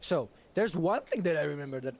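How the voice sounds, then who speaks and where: A man's voice: on the high side, lively intonation, a little fast, vocal fry. A man whose first language is Georgian, hosting a stream in casual chat, laughing often and rambling with fillers. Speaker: stream host.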